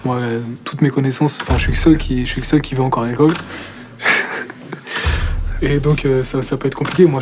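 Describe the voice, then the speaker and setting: A young man speaking as an interviewee, in a hesitant, halting way. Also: medium pitch, background noise. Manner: hesitant, halting speech with restarts. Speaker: student interviewee.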